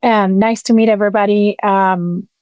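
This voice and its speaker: Flat, measured woman fund founder. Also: medium pitch, clean tone, no room sound, highs cut off. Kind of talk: panel introduction.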